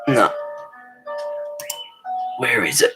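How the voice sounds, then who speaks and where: A woman with an Australian accent and a creaky voice, chatting informally and encouragingly as the host of a casual live stream, rambling a little. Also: very slow, loud music behind the voice. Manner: rambling, informal, encouraging, addressing viewers as guys.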